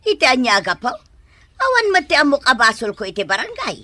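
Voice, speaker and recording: A woman's voice: high voice, wildly swooping pitch, fast talker, sharp tone, a little hiss or hum, exaggerated voice. A woman in an Ilocano radio drama playing a proud, stubborn older mother, scornful and boastful. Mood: defiant.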